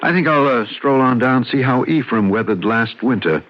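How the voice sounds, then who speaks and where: A man's voice: medium pitch, animated, moderate pace, lightly acted. An actor playing a wry, avuncular married man of about fifty, convalescing, in a radio drama. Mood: genial, dismissive. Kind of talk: radio drama acting with reflective narration. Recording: dull old radio drama recording.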